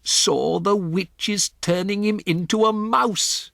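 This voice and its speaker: A man voicing an old grandmother who speaks in a measured, earnest, patient way. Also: high-pitched, gravelly, fairly bright tone, no room sound, clean studio sound, pitched above the performer's own voice, heavily acted. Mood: firm. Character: calm, firm old grandmother.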